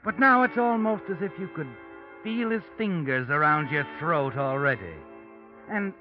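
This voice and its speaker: Male actor as ominous, taunting noir storyteller, highly animated, over music on a muffled vintage radio recording. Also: moderate pace, close to an everyday voice. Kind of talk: 1940s radio mystery narration.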